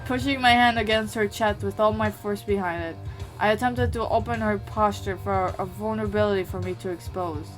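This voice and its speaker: A woman's voice: mid-range voice, fairly even intonation, brisk, faint music behind. Woman narrating a visual novel. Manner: reads steadily with small slips and restarts. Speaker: amateur voice actor.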